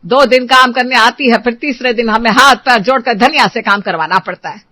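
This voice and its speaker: A woman's voice: a little high, brisk, sharp tone, no echo, pitched above the performer's own voice. A woman playing a bossy, exasperated mistress of a household in a story, complaining emphatically.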